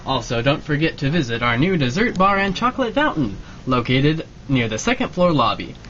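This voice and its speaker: A man's voice: a little high, big pitch swings, brisk, fairly bright tone. A man playing a cheerful, formal announcer type in an old-time radio drama. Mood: cheerful announcing. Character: breezy announcer and deferential airship crewman. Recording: muffled mic, clear background noise.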